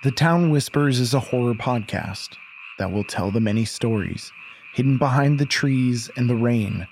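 A man narrating a scripted horror audio drama like a folksy storyteller, in a deliberate way. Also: mid-range voice, darkish tone, slight background noise, low-quality recording.

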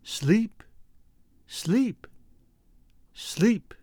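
A man's voice: high voice, sing-song, very slow, a bit bright, no echo, full character voice. A man voicing a chorus of eerie, possessive, gloating spirits in an audiobook, chanting in sing-song repetition. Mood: eerie, luring.

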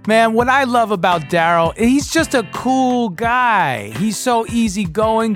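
A podcast host giving an informal, enthusiastic spoken introduction in everyday slangy language. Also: mid-range voice.

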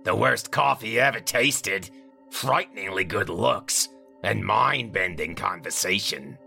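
A man voicing a sarcastic, chain-smoking female office assistant with a raspy voice and dry wit. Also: fairly low pitch, a little slow, bright, forceful tone, soft background music, pitched above the performer's own voice, exaggerated voice.

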